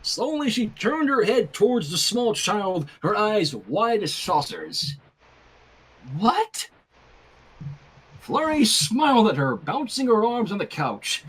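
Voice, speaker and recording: A fan fiction reader narrating a story with casual, irreverent asides in an amused, expressive way. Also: mid-range voice, a little slow, hardly any pauses, slightly rough, rough recording.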